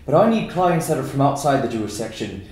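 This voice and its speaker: An actor playing a gruff but fatherly Irish newspaper editor of fifty, brisk and bossy, at a screenplay table read. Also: medium pitch, sing-song, a little mellow, slight background noise, natural voice. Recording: very echoey room.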